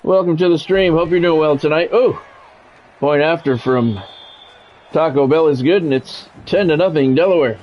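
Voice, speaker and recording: A man's voice: on the high side, normal speed, a little hiss or hum. American man live-streaming, dull lo-fi sound. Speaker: livestream host, game commentator. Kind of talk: casual live-stream chat with play-by-play commentary. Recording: dull lo-fi sound, music behind. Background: music.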